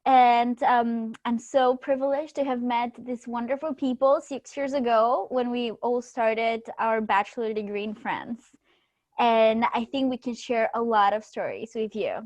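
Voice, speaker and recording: A young woman from Bulgaria introducing herself on a video call, earnest and warm. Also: fairly high pitch, unhurried, dry recording. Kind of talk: casual self-introduction.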